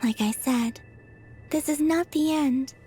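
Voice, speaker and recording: Gentle, high-voiced moon goddess. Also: female voice, takes it slowly, soft background music. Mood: warm, fond. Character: gentle, wistful young moon goddess, grateful and devoted. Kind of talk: game cutscene voice acting.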